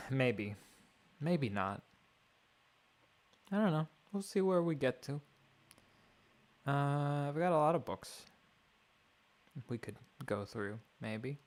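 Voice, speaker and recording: A man's voice: on the high side, expressive, slow pace, pauses often. Wry man rambling on a livestream. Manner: wry, mock-grand, thinking out loud. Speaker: streamer.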